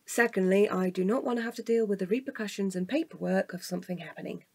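A woman acting a weary, cautious night-watch guard in a naturalistic audio drama, with a husky, measured, deadpan delivery. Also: medium pitch, no room sound. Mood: dry, matter-of-fact.

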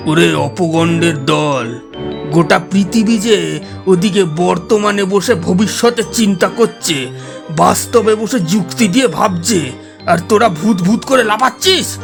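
A man's voice: high voice, unhurried, creaky, faint music behind. A man playing an irascible, skeptical headmaster in an audio drama, blustering and scolding with sarcasm. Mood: indignant, ranting. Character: irascible skeptical headmaster, scornful of ghost believers.

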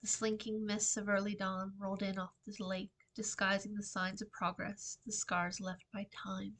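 A middle-aged woman, an author reading her own fiction aloud in a measured, dramatic storytime delivery. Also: mid-range voice, level tone, a bit bright. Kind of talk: expressive storytime book reading. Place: small indoor room with shelving.

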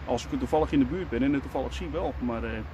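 A man's voice: mid-range voice, rapid-fire, background noise. A man in his forties vlogging casually from a camera held at arm's length, musing aloud with lots of fillers as he rides around and heads home. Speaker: urbex vlogger. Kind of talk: casual vlog commentary. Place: outdoors on a scooter, later indoors in a stairwell.

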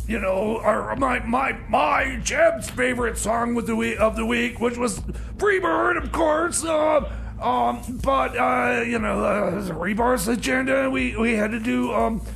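A man's voice: very high-pitched, measured pace, vocal fry, fairly bright tone, exaggerated voice. A man playing a flustered, defensive rookie host in radio comedy, stammering and repeating himself as he hesitantly rambles. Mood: hesitant, muttering. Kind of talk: comedy radio theater, live music-show hosting.

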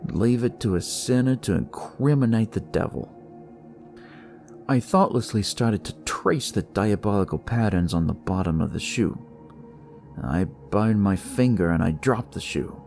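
A man acts out a detective narrating a dark audio story in a low, gravelly voice. He is theatrical yet conversational, recounting it all in the first person, with music playing behind him. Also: lively intonation, measured pace. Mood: intrigued, sardonic. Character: male detective recounting a sinister, eerie discovery.

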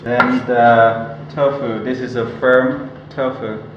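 A middle-aged man with a Thai accent, a native Thai speaker, calmly walking through a cooking demonstration in simple, broken English. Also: mid-range voice, dull audio. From Thailand. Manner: relaxed, unhurried, simple broken phrasing. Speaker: cooking teacher. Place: indoor cooking school kitchen. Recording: echoey kitchen, loud frying noise.

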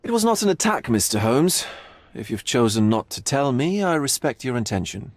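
An actor playing a languid, flamboyant art lover in a video game, speaking with arch theatrical drawl. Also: male voice, mid-range voice, big pitch swings, moderate pace. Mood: bored politeness. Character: droll, languid, flamboyant male art aesthete. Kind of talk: video game cutscene acting.

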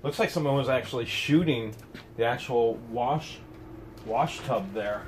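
A man's voice: mid-range voice, unhurried, a little hiss or hum. A man hosting an unboxing vlog, chatting casually and exclaiming over each find, recorded on a handheld camera in a garage. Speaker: vlog host unboxing storage finds.

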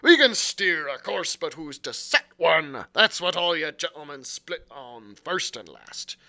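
Bold, rolling old sea rover, as a man puts him on: cunning and menacing, with a bright, ringing tone. His pirate drawl swings wide in pitch, playing big for a storybook reading. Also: big pitch swings, unhurried, exaggerated voice. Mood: scheming. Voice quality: loud, rolling pirate speech. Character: cunning old male pirate quartermaster, smooth-talking and menacing.

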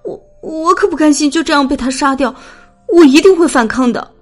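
A woman acts out a young princess for an audiobook drama. She is headstrong and quick to sulk, with a high, girlish pitch. Her manner is fiery yet troubled. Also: a little mellow, soft background music, close to an everyday voice. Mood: anxious, defiant.

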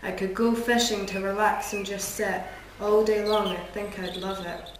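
A woman's voice: mid-range voice, level tone, moderate pace, a little hiss or hum. A young woman with a Scottish accent reading a rhyming poem as narration. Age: young adult. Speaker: poem reader. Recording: echoey room.

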